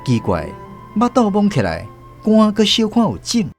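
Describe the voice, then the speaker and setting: Man narrating radio drama, lively pitch, mid voice, clear music behind. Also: normal speed. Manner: expressive storytelling. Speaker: host and narrator. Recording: music playing under the voice.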